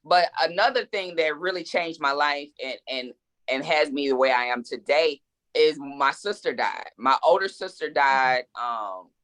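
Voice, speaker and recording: A woman's voice: low-pitched, measured pace, a bit bright. A woman in her forties, a guest author in a casual interview, speaking reflectively and with emphasis, often repeating words as she thinks. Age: 40s.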